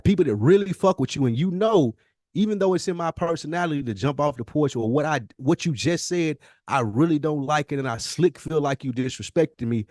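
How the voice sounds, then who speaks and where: Male podcast co-host, medium pitch, dry close-miked sound. Earnest, slangy, conversational, leans on rhetorical questions. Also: darkish tone, dry recording. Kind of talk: casual reflective podcast conversation.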